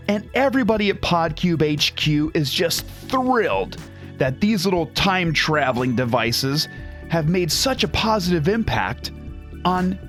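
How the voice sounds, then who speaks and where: Man acting as overeager company outreach guy, lighter high voice, bouncy intonation, earnest, comedy podcast host, faint music behind. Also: a bit bright, heavily acted. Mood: chirpy, impressed. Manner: chirpy, earnest, over-enthusiastic. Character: upbeat, awkwardly cheerful corporate community outreach man. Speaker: company spokesperson hosting customer testimonials. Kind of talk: scripted comic corporate podcast announcement.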